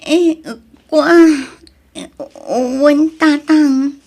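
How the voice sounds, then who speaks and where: A woman acting as a young daughter in an audio drama, with a very high voice that often turns creaky. She is hesitant and pleading, weighed down by remorse. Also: steady tone, extremely slow, a little mellow, heavily acted. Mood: nervous, evasive. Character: Arlene, remorseful young daughter hiding a secret boyfriend.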